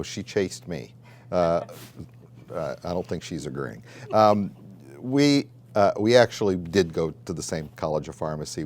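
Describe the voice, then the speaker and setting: A middle-aged man with an American accent, telling his story in a measured, conversational way as he answers interview questions. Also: low-pitched, a little mellow. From Southern Illinois, United States. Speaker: college dean and pharmacist.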